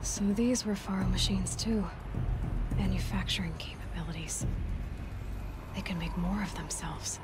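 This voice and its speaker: A woman voices a curious young hunter in a video game, thinking aloud in a quiet, reflective way. Loud music plays behind her. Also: on the low side, normal speed, pauses often, lightly acted. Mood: musing. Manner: thoughtful, musing to herself. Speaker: player character.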